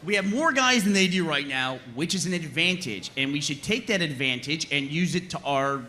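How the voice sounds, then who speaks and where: A man playing an exasperated, bossy squad leader in an animated comedy table read, argumentative and emphatic. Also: a little high, moderate pace. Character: exasperated, bossy male soldier leading a squad.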